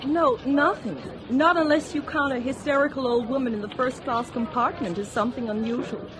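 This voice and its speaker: An actress playing a shaken but professional stewardess in a radio drama, hesitant and defensive. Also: female voice, high voice, steady tone, a little fast, crowd noise, natural voice.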